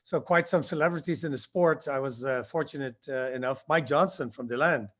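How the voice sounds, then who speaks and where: A man in his sixties, born in Indonesia, answering interview questions about his past and recalling it haltingly with many fillers. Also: medium pitch, fairly bright tone. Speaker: guest, skydiving instructor.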